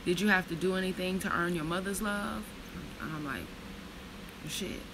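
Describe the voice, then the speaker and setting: A woman in her thirties reads slowly and reflectively from a book, then slips into blunt, profane, casual storytelling. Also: fairly low pitch, noisy background. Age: 30s. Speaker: vlogger reading from a book.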